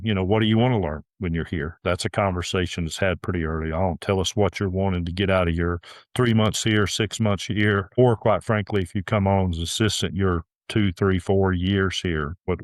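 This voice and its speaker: A man's voice: low-pitched, dry recording, studio quality. A middle-aged man talking earnestly as a golf course director in a podcast interview, often restarting his sentences.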